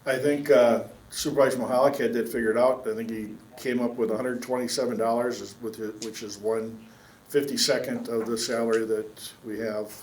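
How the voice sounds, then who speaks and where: A man chairing a county board meeting, speaking in a measured way with many fillers and restarts. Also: mid-range voice, fairly even intonation, darkish tone. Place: council chamber. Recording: echoey meeting room with faint hum.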